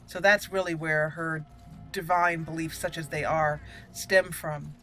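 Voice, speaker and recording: A woman's voice: sits low, steady tone, measured pace, pushed and bright, faint music behind. A woman hosting a gaming channel with casual roleplay commentary, chatty and easily amused.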